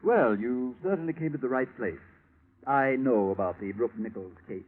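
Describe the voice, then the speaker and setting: A man's voice: medium pitch, expressive, moderate pace, natural voice. A man acting a suave, smug, corrupt British police chief in a 1940s radio drama, mocking and elaborately polite. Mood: smoothly courteous. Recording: tinny, narrow-band vintage radio recording.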